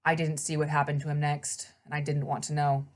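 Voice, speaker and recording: A woman's voice: low voice, little pitch movement, brisk. A woman reading a children's novel aloud as narrator, in scripted storybook narration.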